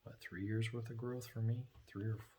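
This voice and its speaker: A man's voice: medium pitch, flat delivery, fairly quick, a little mellow. A man chatting casually as a guest on his wife's vlog. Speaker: host's husband, guest on her vlog. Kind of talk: casual chat.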